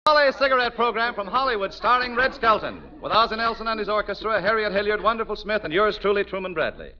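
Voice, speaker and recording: A man's voice: sits high, quick pace. An American man working as a 1940s radio announcer, delivering scripted introductions and sponsor copy. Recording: dull 1940s radio broadcast, music behind.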